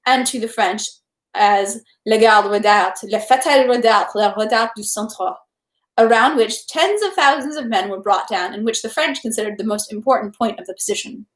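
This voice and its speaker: A woman reading a novel aloud as narration, in an animated, expressive way. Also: on the high side. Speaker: read-aloud host. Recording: echoey room.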